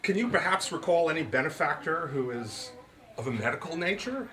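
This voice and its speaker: A man's voice: fairly high pitch, expressive, brisk, crowd noise, voice pushed up, lightly acted. A man voicing a composed young female detective in a comic mystery story, asking polite, probing, formal questions.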